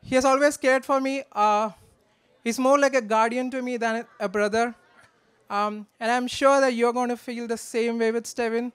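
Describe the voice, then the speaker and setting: A man gives a joking, affectionate, slightly halting wedding toast from notes into a handheld microphone. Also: very high voice, fairly even intonation, a bit bright, smooth. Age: 20s. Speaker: best man, groom's brother. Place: wedding reception in a large draped marquee.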